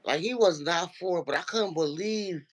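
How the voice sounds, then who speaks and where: A man with a deep, nasal voice who calls into a livestream and tells a story, acting out what other people said. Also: normal speed. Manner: animated storyteller, acts out quoted dialogue. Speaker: regular viewer calling into a livestream. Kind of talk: casual chat, retelling an online argument.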